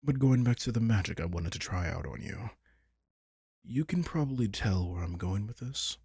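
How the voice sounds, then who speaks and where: A man playing a possessive, sardonic vampire king in an intimate roleplay audio drama, teasing and condescending with a husky, breathy edge. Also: on the low side, animated, moderate pace, a little mellow, no echo, clean studio sound. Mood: musing. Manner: teasing, condescending, casually profane.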